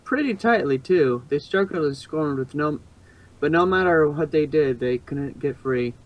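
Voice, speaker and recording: A man's voice: a little high, lively intonation, moderate pace, dull audio. A man reading fan fiction aloud as narrator, informal, sometimes stumbling and rereading a phrase. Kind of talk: casual storybook narration.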